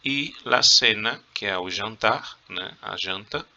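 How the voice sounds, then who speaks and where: Low gravelly Brazilian male teacher. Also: slow, sharp tone, dull audio. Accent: Brazilian Portuguese. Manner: casual joking teacher talk to his students. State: coughing. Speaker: Spanish teacher. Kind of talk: recorded review lesson.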